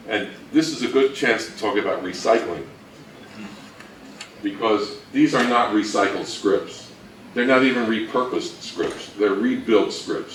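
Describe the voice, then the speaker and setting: Low-voiced man lecturing in a roomy space. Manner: halting, thinking aloud. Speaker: co-presenter at a fan convention talk. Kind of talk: informal lecture on old radio history. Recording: roomy, distant mic with faint noise.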